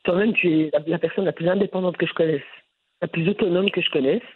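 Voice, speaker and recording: A woman from Brussels with a Brussels French accent, answering interview questions in an animated, frank way with frequent restarts. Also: fairly low pitch, fast, husky. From Brussels, Belgium. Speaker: content creator guest. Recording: phone-line audio.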